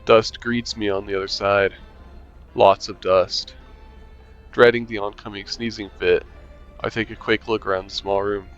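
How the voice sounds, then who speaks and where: A man reads a visual novel aloud in a casual let's-play, chuckling and slipping in wry asides. His voice sits on the low side. The sound is a bit muffled, and soft game music runs underneath. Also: flat delivery, normal speed.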